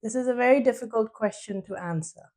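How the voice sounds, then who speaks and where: A woman's voice: fairly high pitch, fast talker, mellow. French-native woman, chatty interview guest. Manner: laughs often, frequent fillers. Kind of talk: casual interview chat. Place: studio.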